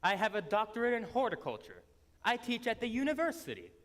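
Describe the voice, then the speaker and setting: Stage comedy wolf male actor: high-pitched, argumentative, lovestruck professor type. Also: wildly swooping pitch, fairly quick, a bit bright, exaggerated voice. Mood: eager, flustered. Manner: defensive, argumentative. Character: indignant misunderstood wolf, lovestruck horticulture professor. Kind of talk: stage comedy fairy-tale acting. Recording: live school stage recording.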